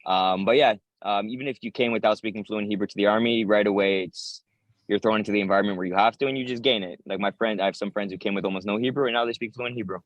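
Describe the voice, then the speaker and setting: Quick-talking young American man, low dry voice. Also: steady tone, no room sound, lo-fi sound. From United States. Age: 20s. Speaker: interviewee, infantry paratrooper soldier. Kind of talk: interview, casual talk.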